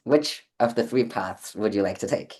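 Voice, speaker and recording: An eager host from Austria leads a casual chat and asks long, winding questions. The voice has a dark, mellow tone. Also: mid-range voice, moderate pace, breathy edge. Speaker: podcast host.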